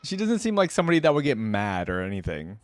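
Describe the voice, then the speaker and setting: A woman's voice: rumbling low, big pitch swings, fast. A young adult woman giving casual reaction commentary as a video host, in an amused manner.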